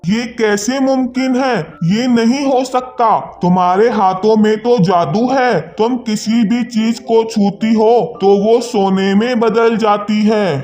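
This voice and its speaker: A plaintive village potter acted by a man speaks slowly in a high male voice. He is a worried father in a children's cartoon tale, with faint music behind. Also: fairly even intonation, a little mellow. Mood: astonished. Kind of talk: children's animated story dialogue.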